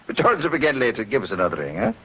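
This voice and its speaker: An actor playing a plain-spoken, gullible police officer, hesitant and colloquial, in 1940s radio style. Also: male voice, medium pitch, very animated, very fast, lightly acted. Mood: placating. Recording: noisy, dull old radio recording.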